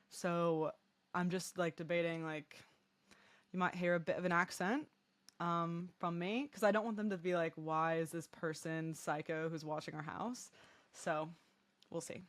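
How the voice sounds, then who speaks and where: A woman with a fairly low voice co-hosts a podcast in a playful, chatty way. She often makes fun of herself. The sound is dry and close. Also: no room sound. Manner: playful, chatty, self-mocking. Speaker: podcast co-host. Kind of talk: casual podcast chat. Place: countryside home.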